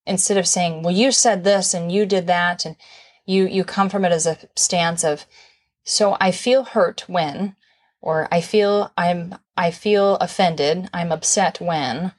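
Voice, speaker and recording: A woman co-hosts a casual relationship talk, chatty and playful, full of fillers. Her voice sits a little low for a woman. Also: fairly even intonation, unhurried. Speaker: podcast co-host. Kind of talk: casual conversational advice.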